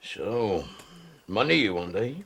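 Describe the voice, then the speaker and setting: A man playing a gruff skipper, swooping in pitch. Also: animated, takes it slowly, a bit bright, full character voice. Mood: surly, menacing. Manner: gruff, suspicious, blustering. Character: menacing, drunken ship's skipper with a vile temper. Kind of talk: audio drama dialogue.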